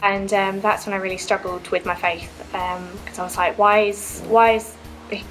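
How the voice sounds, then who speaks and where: A young woman giving a personal baptism testimony, earnest and reflective, with frequent pauses and ums. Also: medium pitch, level tone, fairly bright tone, soft background music, rough recording.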